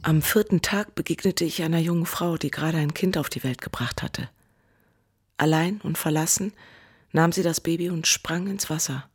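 A woman's voice: deep voice, moderate pace, breathy edge. An actress playing a traumatised woman who survived a flood, giving rhythmic, poetic testimony in a radio drama. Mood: grave, pained.

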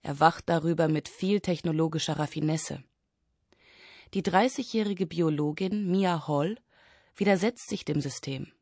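A woman's voice: sits low, darkish tone, no echo, clean studio sound. A woman doing a scripted literary reading for radio. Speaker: reader of excerpts and summaries.

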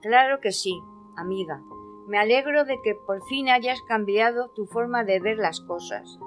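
A woman's voice: fairly high pitch, unhurried, faint music behind, lightly acted. A woman playing a kindly, forgiving neighbour in an amateur radio play. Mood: glad, forgiving.

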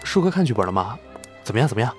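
A man's voice: mid-range voice, big pitch swings, very fast, a little mellow, soft background music, close to an everyday voice. An actor playing an eager, deferential young male film star in a Chinese audio drama. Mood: eager, polite.

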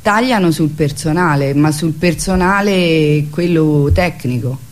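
A woman's voice: low voice, a little slow. A woman being interviewed as a theatre artist, talking conversationally and reflectively, searching for her words.

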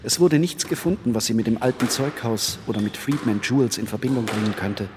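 A man's voice: mid-range voice, fairly quick, close to an everyday voice. Formal, shrewd lawyer played by a man, noisy background. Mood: measured, factual. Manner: formal, precise. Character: shrewd, composed male defence lawyer. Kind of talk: German radio crime drama acting. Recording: clicks and bangs of squash hall behind.

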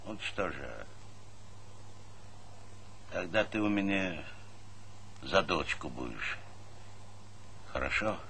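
A man's voice: deep voice, lively intonation, slow, creaky, long pauses, bright, forceful tone, heavily acted. An actor playing a middle-aged collective farm chairman in a Soviet stage comedy, hearty, fatherly and insistent, haggling and hesitating mid-phrase. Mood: gentle, fatherly. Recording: noisy old 1970s theatre recording.

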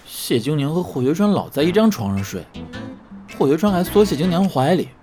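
Cheeky, very animated young male idol played by an actor. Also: fairly high pitch, brisk, soft background music. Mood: casual gossip. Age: young adult. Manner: expressive, teasing, colloquial. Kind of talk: audio drama acting.

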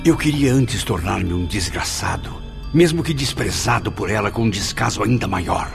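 Gravelly, vengeful, obsessed nobleman. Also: fairly low pitch, expressive, moderate pace, faint music behind. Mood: bitter confession. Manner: theatrical, menacing, impassioned. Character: obsessed, vengeful, cruel Spanish nobleman in love. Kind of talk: radio drama acting.

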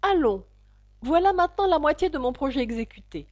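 A woman's voice: high voice, sing-song, fairly quick, a little mellow, voice pushed up. A woman voicing a polite, earnest little girl who protests and hesitates. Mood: musing.

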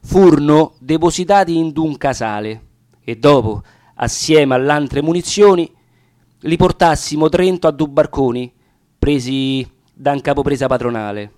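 Male actor, Roman accent, higher-pitched voice, dramatic verse recitation, deliberate pauses. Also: a little mellow. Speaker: actor reading poetry. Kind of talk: dramatic recitation of dialect narrative sonnets.

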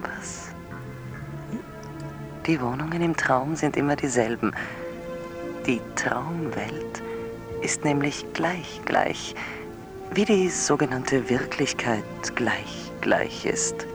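An actress playing an eerie, insistent woman caller in a surreal radio drama, dreamy and halting, often dropping to a breathy whisper. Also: very deep voice, animated, full character voice. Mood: trance-like. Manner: slow, halting, hypnotic, repeating herself. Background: music behind the voice.

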